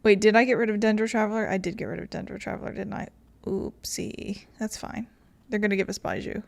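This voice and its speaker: A woman's voice: mid-range voice, steady tone, a little fast, creaky, darkish tone. Chatty woman streamer. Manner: chatty, swears, talks to herself. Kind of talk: casual gameplay commentary.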